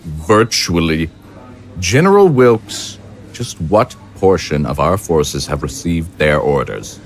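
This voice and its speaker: An actor playing an imperious, impatient consul in an audio drama, speaking with stern, formal authority. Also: male voice, low voice, big pitch swings, takes it slowly, a little hiss or hum, full character voice. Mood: cold, indignant. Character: imperious, impatient male consul commanding an army.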